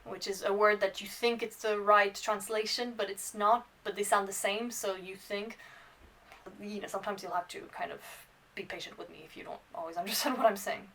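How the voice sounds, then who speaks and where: A bilingual French and English woman in her twenties talks casually in a mid-pitched voice, as in a student vlog. She rambles and hesitates, gently putting herself down as she goes. The microphone sounds slightly far from her. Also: steady tone, brisk, slight background noise. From France and Ireland. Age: 20s. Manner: rambling, hesitant, self-deprecating. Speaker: student vlogger. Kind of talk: casual unscripted vlog chat to camera.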